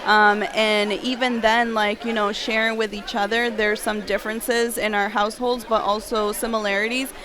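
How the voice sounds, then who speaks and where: A woman's voice: mid-range voice, flat delivery, normal speed, few pauses. A woman from Massachusetts chatting casually and enthusiastically as an interview guest. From Massachusetts, United States. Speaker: interview guest, school guidance staff. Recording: busy crowd chatter behind.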